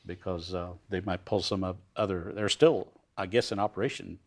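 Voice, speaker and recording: A man in his sixties hosting a TV studio interview, asking leading questions in a halting way with many pauses. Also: deep voice, fairly even intonation, a little mellow. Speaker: host.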